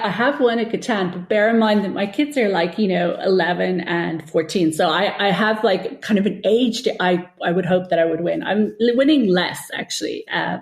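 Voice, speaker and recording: A woman's voice: on the low side, creaky. A middle-aged woman talking casually as an interview guest, chatty and informal, thinking aloud as she goes.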